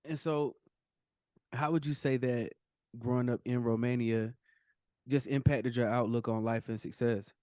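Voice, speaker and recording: A man's voice: medium pitch, fairly even intonation, measured pace, pauses often, mellow, no echo, studio quality. A man hosting an interview, asking questions in a hesitant way with many fillers. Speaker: host. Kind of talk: podcast interview.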